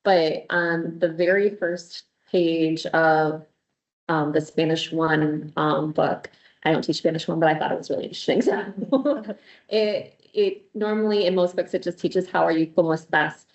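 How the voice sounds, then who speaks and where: A woman language teacher speaking at a school board workshop in an animated, chatty way. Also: deep voice, a little slow, highs cut off. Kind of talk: sharing views at a board workshop.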